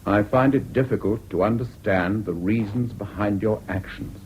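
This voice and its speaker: An actor playing a grave, disapproving English judge in a formal, measured radio drama style, with a British accent. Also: male voice, fairly low pitch, darkish tone, natural voice. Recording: dull lo-fi old radio recording, background noise.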